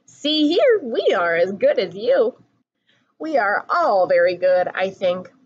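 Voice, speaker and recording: Young female storybook reader, singsong and expressive, slow pacing, big pitch swings, slightly lo-fi recording. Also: slightly rough. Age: young adult. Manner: animated, singsong rhyming delivery. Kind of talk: expressive picture book read-aloud.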